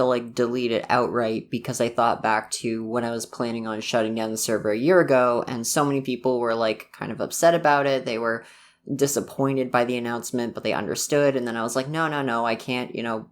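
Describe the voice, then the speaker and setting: A young man talks casually in a medium-pitched voice, as an online creator giving his followers an informal update. He is reflective and a little hesitant, dropping in "like" often and letting thoughts trail off before picking them up again. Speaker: ASMR voice actor and video creator.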